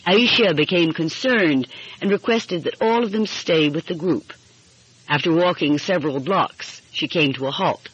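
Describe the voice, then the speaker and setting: A woman's voice: on the low side, moderate pace, breathy edge, no room sound. A woman reading a mystery story aloud as scripted audiobook narration. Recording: muffled, dull lo-fi audio.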